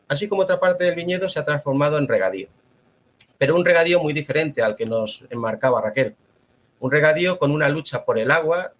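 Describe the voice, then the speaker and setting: A man from La Mancha with a Spanish accent giving a formal, measured conference talk as an agronomist. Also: mid-range voice, lo-fi sound. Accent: Spanish (Spain). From La Mancha, Spain. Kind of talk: prepared conference presentation.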